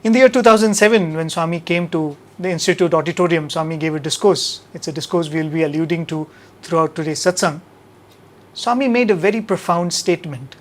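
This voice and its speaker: A man with an Indian accent hosting a devotional satsang, speaking in a measured, emphatic way with rhetorical questions and repeated phrases. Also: a little high. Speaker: host of a devotional radio satsang. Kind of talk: spoken devotional talk to an audience.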